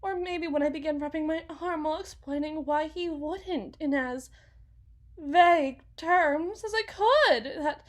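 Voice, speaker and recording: Woman acting a high-voiced, slow, anxious mother. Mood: nervous, fragile. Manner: halting, rambling, self-correcting, sighing. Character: anxious, rambling wife and mother, defensive, self-blaming, fragile. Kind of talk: ASMR dramatic monologue roleplay.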